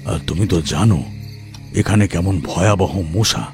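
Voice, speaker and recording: A man voicing a mild older male housemate in an audio horror story, unhurried, drowsy and easygoing. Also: low-pitched, music in the background, pitched below the performer's own voice. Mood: dismissive.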